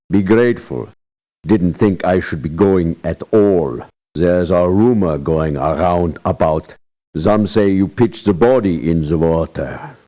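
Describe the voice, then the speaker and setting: A man playing a grave, wary, resentful mercenary in a video game, with a measured and curt delivery. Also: low-pitched, mellow. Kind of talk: video game character voice acting.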